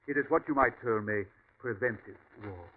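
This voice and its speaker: An actor playing a calm, courteous Martian invader who speaks with cold condescension in an old radio drama. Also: male voice, fairly low pitch, normal speed, husky. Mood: smooth menace. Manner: suave, courteous, coldly condescending. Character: calm superior male Martian invader posing as ranch host. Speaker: villain. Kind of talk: 1940s radio acting. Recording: tinny old radio broadcast with faint hiss.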